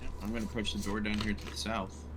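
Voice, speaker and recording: A man's voice: on the low side, little pitch movement, quick pace, background noise. A man playing a tabletop role-playing game, talking casually about his moves.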